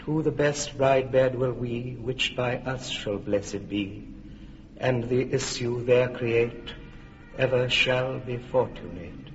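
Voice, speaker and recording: A man's voice: mid-range voice, steady tone, faint music behind, lightly acted. A man playing a solemn incanting fairy king. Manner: slow, incantatory. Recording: dull broadcast, long reverb.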